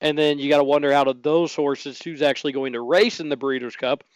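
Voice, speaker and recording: A man hosting a radio sports talk show, his voice a little hoarse, talking in an energetic, chatty way. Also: a little high, a little fast, no echo, low-quality recording.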